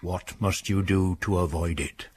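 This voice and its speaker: A cold stern churchy school patron, slow preachy lines, radio drama. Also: male voice, sits low, steady tone, darkish tone, slightly rough. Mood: preaching. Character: stern, pious male school patron, middle-aged.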